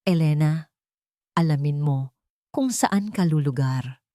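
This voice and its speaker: A woman's voice: low voice, animated, dark tone, dry recording, clean studio sound. A woman voicing a haughty elderly mother-in-law in a narrated drama, commanding, cold and emphatic. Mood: cold contempt. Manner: slow, emphatic, authoritative.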